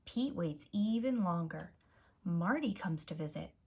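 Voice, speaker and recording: A woman reading a picture book aloud to young children in an expressive, deliberate manner as a storyteller. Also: on the low side, slightly rough. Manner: slow, expressive, simple deliberate phrasing. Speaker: storyteller, preschool teacher.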